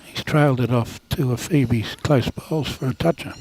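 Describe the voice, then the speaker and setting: A man's voice: medium pitch, normal speed, a little mellow, rough recording. A man with an Australian accent giving laid-back live bowls commentary with dry, self-deprecating humour. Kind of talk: relaxed live sports commentary.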